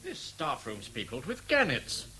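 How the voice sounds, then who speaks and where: An actor playing a middle-aged, caustic, grumbling long-serving British schoolmaster in a 1970s radio drama. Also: male voice, fairly high pitch, huge pitch swings, measured pace, bright, forceful tone, breathy edge, faint music behind, exaggerated voice. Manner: caustic, complaining.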